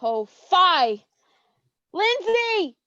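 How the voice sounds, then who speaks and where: A high, slow-paced voice on a dull recording. A woman plays a flighty, easily exasperated young friend, stretching out archaic lines theatrically for a mock-Shakespearean horror comedy. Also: big pitch swings, bright, forceful tone, no echo, exaggerated voice. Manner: theatrical, drawn-out, archaic diction. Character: flighty, scheming, exasperated young female friend, matchmaking and cursing. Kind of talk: staged reading, mock-Shakespearean comic horror.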